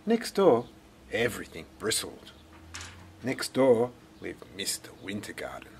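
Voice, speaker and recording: A man reading a children's story aloud in a dramatic, deliberate way. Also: on the low side, huge pitch swings, creaky, slight background noise. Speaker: storybook reader. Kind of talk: expressive scripted children's story narration.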